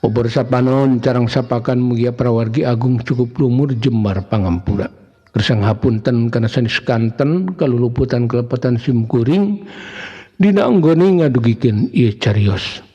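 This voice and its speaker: A man's voice: mid-range voice, moderate pace, warm tone, slightly rough. A man with a Sundanese accent narrating a folktale as a storyteller, in a dramatic style. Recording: muffled, long reverb, faint music behind.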